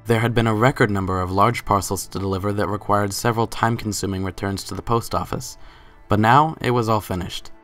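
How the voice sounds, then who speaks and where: A man giving a scripted dramatic reading as the narrator of a story. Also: low-pitched.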